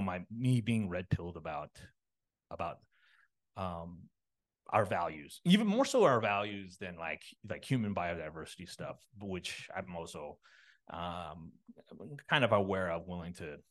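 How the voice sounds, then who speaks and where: A man from a Los Angeles suburb, likely in his thirties, talking as a podcast guest in a halting, rambling way full of fillers and restarts. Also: deep voice, animated. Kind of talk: casual interview talk.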